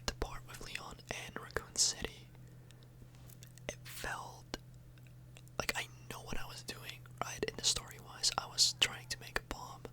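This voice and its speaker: A woman speaking in a breathy, unvoiced whisper close to the microphone, rambling informally and a little hesitantly in an ASMR style. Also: measured pace, low-quality recording. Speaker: ASMR video host.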